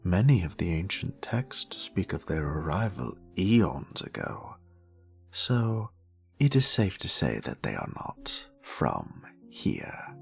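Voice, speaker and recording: A man with a British accent voicing a sassy, grumpy, learned wizard who narrates fantasy lore in a theatrical, deliberate way with breathy drops and wry condescension. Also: low-pitched. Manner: wry, condescending scholarly asides. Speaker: voice actor.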